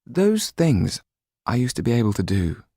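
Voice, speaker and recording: A man voicing an earnest, grateful young gentleman in an audiobook, speaking in a gentle, heartfelt way. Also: medium pitch, sing-song, moderate pace, slightly rough, dry recording, studio quality, voice pushed up. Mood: troubled. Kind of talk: audiobook character acting.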